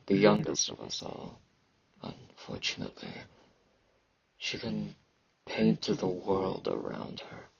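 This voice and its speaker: Man acting as brooding fantasy knight, very creaky voice, husky, slow delivery, aloof and weary, ASMR roleplay, muffled recording. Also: full character voice. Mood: detached, reassuring. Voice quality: gravelly, husky low voice; noticeable sibilant S sounds. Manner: slow, brooding, casual about grim things. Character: weary, aloof, genetically enhanced immortal male fantasy knight. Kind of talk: ASMR roleplay, intimate dramatic monologue.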